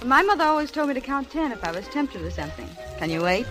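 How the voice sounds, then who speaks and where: A woman's voice: high voice, sing-song, fast, music in the background, rough recording. Coy witty girl of nineteen, dry teasing comebacks, old 1930s radio sound. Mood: playful, teasing. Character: coy, quick-witted nineteen-year-old young woman. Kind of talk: 1930s radio drama acting.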